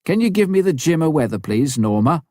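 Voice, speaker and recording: Man voicing storybook bush pilot, male, wary, businesslike, raised pitch, dry sound. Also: very animated, fairly quick, warm tone, no echo.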